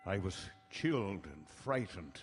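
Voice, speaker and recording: Grave doctor narrating, in a reverberant hall. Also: male voice, low-pitched, sing-song, breathy edge, heavily acted. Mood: grave dread. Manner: measured, dramatic, deliberate phrasing. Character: grave doctor recounting vampire horrors from his journal. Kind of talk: live radio drama narration in 1930s-40s manner. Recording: live stage broadcast in reverberant hall.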